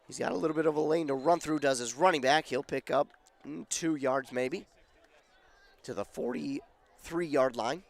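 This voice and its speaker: A man calling a football game on local radio, his voice going scratchy and hoarse, rising with excitement on big plays. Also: on the high side, moderate pace. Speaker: play-by-play announcer.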